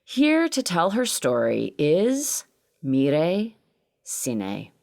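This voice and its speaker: Low-voiced woman hosting a podcast, lively. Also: slow pace, vocal fry. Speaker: podcast host and producer. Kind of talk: scripted podcast introduction.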